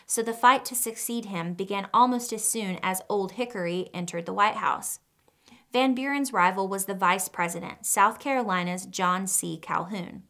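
A woman's voice: mid-range voice, sharp tone. Young woman narrating a scripted lesson. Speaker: educational video presenter. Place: studio with a plain wooden backdrop.

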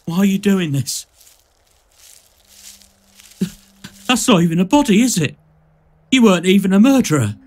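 A man's voice: high voice, sing-song, brisk, a little mellow. A man playing an earnest, nervous rookie policeman in an audio drama, deferential and full of questions. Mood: frightened, angry.

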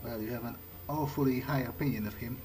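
A man's voice: mid-range voice, steady tone, fast talker. A man doing in-character game commentary, musing aloud in a hesitant way. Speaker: gamer roleplaying a character.

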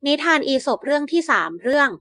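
A woman narrating Aesop-style fables for bedtime in an even, measured reading. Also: sits high, no echo, clean studio sound. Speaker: storyteller.

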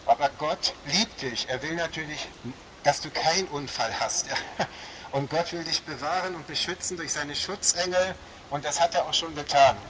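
A man from Berlin with a light Berlin accent, preaching a fervent open-air sermon on a shopping street and often saying "ja" and "Halleluja". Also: fairly high pitch. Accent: light Berlin German. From Berlin, Germany. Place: outdoor shopping street under a small roof, rain. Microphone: small loudspeaker setup. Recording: noisy outdoor street ambience.

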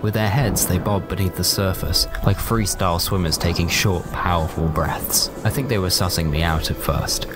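A man's voice: sits low, normal speed, few pauses. A British man narrating a scripted comic story in the first person with a wry, understated manner. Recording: loud music bed under the voice.

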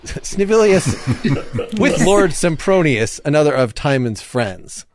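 A man's voice: on the high side, very animated, takes it slowly, no echo. A man narrating stage directions informally as host of a play reading.

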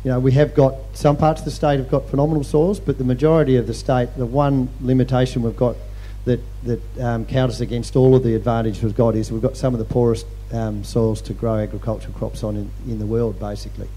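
A man on a conference panel, speaking in measured phrases that trail off quietly. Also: medium pitch, level tone, poor audio. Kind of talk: panel discussion. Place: large hall stage.

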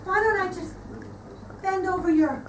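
A woman's voice: very high-pitched, normal speed, background noise. A woman performing improv comedy on a club stage, playful and mock-coy. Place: small comedy club stage with a live audience. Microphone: far camera in the audience. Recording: roomy live club audio from far off. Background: laughing crowd.

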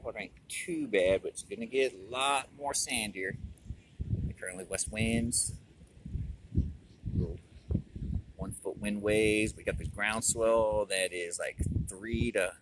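A man's voice: fairly low pitch, fairly even intonation, slow, pauses often. A man giving a plain, matter-of-fact fishing report, filmed outdoors on an ocean pier with a handheld phone. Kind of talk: casual fishing report while filming. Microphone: handheld phone camera mic. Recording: loud wind and surf noise.

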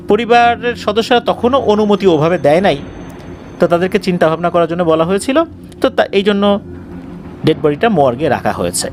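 A man's voice: fairly high pitch, a little hiss or hum. A man narrating a horror story in a conversational, dramatic way, repeating phrases to build suspense.

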